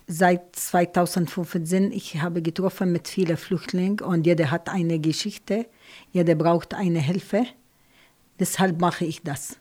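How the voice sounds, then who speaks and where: A woman with an Arabic accent speaking German as a second language in a radio studio interview, halting, with grammar slips and frequent fillers. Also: lowish voice, fairly even intonation, warm tone. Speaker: studio guest, volunteer refugee helper.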